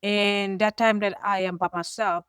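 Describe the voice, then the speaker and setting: South Sudanese woman, smooth and emphatic. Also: medium pitch, level tone, normal speed, no echo, studio quality. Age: middle-aged. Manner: earnest, emphatic, rising to forceful stresses. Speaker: co-host. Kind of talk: personal storytelling.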